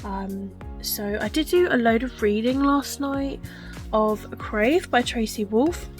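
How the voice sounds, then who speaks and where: A young woman chatting casually in a vlog, rambling with lots of ums and likes. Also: a little high. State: wheezy, recovering from illness. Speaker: book vlogger.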